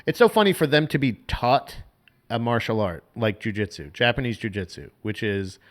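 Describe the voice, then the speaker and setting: A man's voice: mid-range voice, animated, moderate pace. A man co-hosting a podcast in an ordinary mid-range voice. He talks loosely and profanely, restarting sentences as he explains at length. The delivery is casual and conversational. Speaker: podcast co-host.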